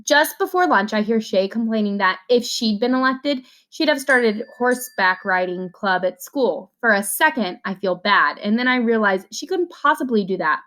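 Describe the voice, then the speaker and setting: Woman reading a kids book out loud, kinda high voice, expressive storytelling. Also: moderate pace, few pauses. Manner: expressive, acts out dialogue. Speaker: teacher reading aloud. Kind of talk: children's novel read-aloud.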